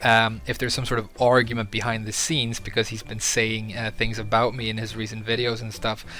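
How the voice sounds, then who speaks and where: A man's voice: lowish voice, little pitch movement, a little fast, sharp tone. A young man from Sweden talking candidly to camera as a video creator, earnest and a little hesitant with fillers. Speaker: YouTuber. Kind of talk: casual talk to camera.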